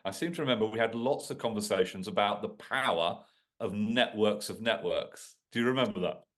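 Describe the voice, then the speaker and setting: A man's voice: fairly low pitch, fairly quick, a bit bright. A man hosting a podcast, asking friendly, chatty questions of his guest. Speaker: podcast host. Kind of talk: podcast interview.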